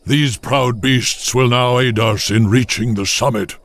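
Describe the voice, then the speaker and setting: A man's voice: medium pitch, fairly even intonation, a little slow, fairly bright tone. A man playing a wise old beast-folk chieftain in a video game cinematic, speaking with solemn, cautious weight. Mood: grave, cautioning.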